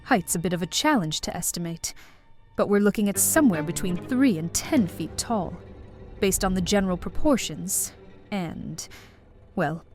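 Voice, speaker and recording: Actress doing a quirky oblivious detective, lowish voice, deadpan comedy audio drama, faint music under it. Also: female voice, close to an everyday voice. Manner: deadpan, matter-of-fact.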